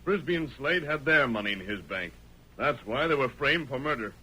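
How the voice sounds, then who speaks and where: An actor playing a steadfast, commanding masked cowboy hero in a radio western, with formal, measured diction. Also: male voice, medium pitch, expressive, pushed and bright, breathy edge. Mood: resolute, probing. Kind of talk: 1940s radio western acting. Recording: noisy old radio recording.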